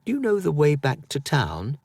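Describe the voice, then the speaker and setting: A man's voice: on the high side, huge pitch swings, moderate pace, vocal fry, a little mellow, breathy edge, no echo, pitched above the performer's own voice, full character voice. A man voicing a poor young boy in a storybook, timid, earnest and full of wonder. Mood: hopeful.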